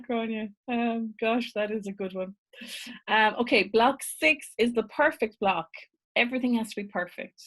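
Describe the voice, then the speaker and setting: Irish woman, business coach on a live class; chatty, quick to laugh, reads viewer comments aloud. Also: medium pitch. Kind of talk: live online masterclass.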